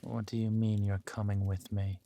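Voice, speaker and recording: A man playing a menacing, possessive villain warlord and protective lover in a scripted roleplay audio drama, speaking deliberately and commandingly, dropping into breathy murmurs. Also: lowish voice, flat delivery, mellow, slight background noise, lightly acted. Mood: stern, protective.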